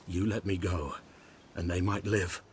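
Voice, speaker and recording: A proud partisan soldier from a fantasy game, with a low voice. He is earnest and defiant, speaking in formal, emphatic phrases. Light ambient noise runs behind him. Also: flat delivery, unhurried. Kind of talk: video game voice acting.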